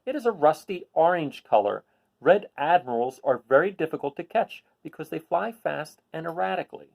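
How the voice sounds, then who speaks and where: A man's voice: on the high side, expressive, moderate pace, vocal fry, darkish tone. A man reading a children's picture book aloud, adding casual asides and putting questions to his listeners. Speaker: read-aloud host.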